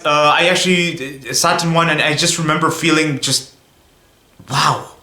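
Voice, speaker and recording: A man's voice: a little high, steady tone, moderate pace, sharp tone, roomy sound. A young man from Belgium chatting casually to viewers on his car vlog, rambling a little and often saying "guys". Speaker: car vlogger.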